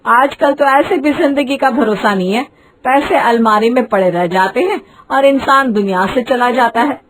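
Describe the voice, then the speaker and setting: A woman's voice: fairly high pitch, normal speed, close to an everyday voice, poor audio. A woman voicing a boastful, dramatic middle-aged Indian mother-in-law in a cartoon moral story. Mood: persuasive. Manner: haughty, dramatic, wheedling.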